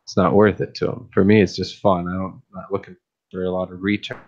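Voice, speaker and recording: A man with a deep, very low voice talks in a flat, even tone. He rambles easily, thinking aloud and restarting often as he chats late at night. The sound is a little muffled and lo-fi. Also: fast talker. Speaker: fish-keeping streamer and YouTuber. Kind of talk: casual late-night chat.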